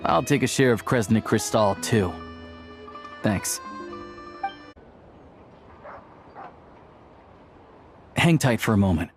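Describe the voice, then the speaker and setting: Low-voiced, understated village man. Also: expressive, moderate pace, over music. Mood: suspicious, dry. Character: guarded, plain-spoken man from abandoned village, quietly kind. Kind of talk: video game cutscene acting.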